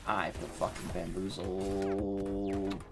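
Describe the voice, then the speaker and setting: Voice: sits low, little pitch movement, takes it slowly, over music. An American game streamer giving casual live commentary, swearing often, exclaiming and repeating phrases. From North Carolina, US.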